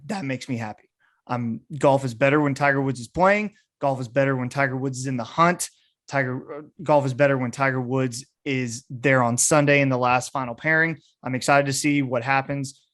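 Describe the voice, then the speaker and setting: A man's voice: mid-range voice, moderate pace, a bit bright. A man hosting a sports podcast, giving casual, enthusiastic commentary like a keen fan.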